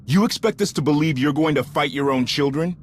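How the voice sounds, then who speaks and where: An actor playing a hot-headed, distrustful young loyalist rebel in a video game, confrontational and sarcastic. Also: male voice, a little high, a little fast, slight background noise, close to an everyday voice. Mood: accusing. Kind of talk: dramatic video game acting.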